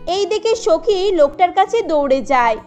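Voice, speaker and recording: Narrator: woman, very high voice, steady storytelling, soft music under it. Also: moderate pace.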